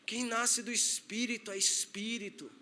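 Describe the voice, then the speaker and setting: A man's voice: sits high, flat delivery, normal speed, sharp tone. A male pastor with a Brazilian accent, preaching a sermon in a loose, conversational way and acting out the voices he quotes. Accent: Brazilian Portuguese. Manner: conversational, acts out quoted voices, laughs at his own points.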